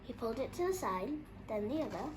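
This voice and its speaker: A woman reading a children's picture book aloud as an expressive storyteller. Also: on the high side, fast talker, a little hiss or hum, low-quality recording.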